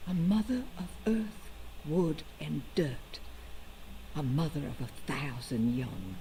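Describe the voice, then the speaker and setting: A slow, earnest devotee. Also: female voice, deep voice, mellow. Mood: earnest prayer. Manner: earnest, pleading, measured. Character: devout, desperate young woman seeking voodoo aid. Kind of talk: game character dialogue. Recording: distant game audio with faint noise.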